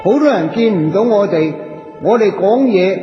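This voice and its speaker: An actor with a Hong Kong Cantonese accent playing a cheeky, chatty, poor wandering soul in a radio drama, colloquial, playful and coaxing. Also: male voice, high-pitched, moderate pace, soft background music, natural voice. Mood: complaining, chatty. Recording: dull echoey audio with long reverb.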